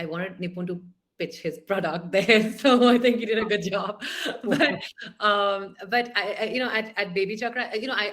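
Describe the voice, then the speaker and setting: Animated woman, low voice. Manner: animated, thinking aloud with repeated restarts. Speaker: startup founder and panelist. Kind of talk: panel discussion. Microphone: video call.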